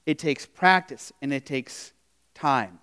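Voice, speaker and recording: A man's voice: mid-range voice, slow pace, pushed and bright, clear, resonant tone. A male pastor giving a sermon in a conversational way, often saying "you know", restarting phrases and asking the congregation questions.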